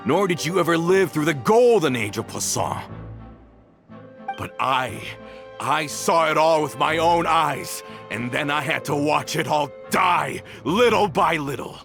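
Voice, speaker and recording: A bitter, vengeful man in a dramatic game scene. His gravelly voice swings widely in pitch as he accuses, leaning theatrically on each phrase. Music plays underneath. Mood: anguished accusation. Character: bitter, vengeful grown man, embittered former mafia member. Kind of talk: dramatic video game voice acting.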